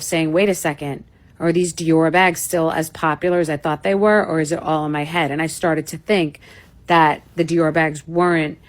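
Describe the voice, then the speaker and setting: A woman speaking earnestly to camera, musing aloud and second-guessing herself. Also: deep voice.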